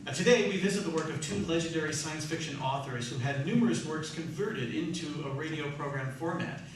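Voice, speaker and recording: A man's voice: fairly high pitch, a little fast. A man hosting a live theatre show, giving a prepared, showmanlike introduction to the audience. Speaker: host of a live radio theatre troupe. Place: large echoey room, live performance. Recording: very echoey room, distant mic, hiss.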